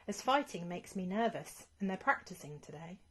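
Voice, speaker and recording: A timid young knight from a children's storybook, acted out by a woman. He is earnest and kind, speaking with nervous eagerness and very lively, swooping pitch. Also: normal speed, slightly rough.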